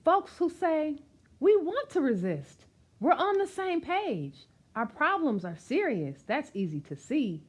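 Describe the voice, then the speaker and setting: Very animated woman reading a storybook aloud. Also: sits high, a little slow. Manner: expressive, emphatic, asking the listeners questions. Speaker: storyteller reading a picture book to children. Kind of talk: animated read-aloud of rhyming picture book with asides.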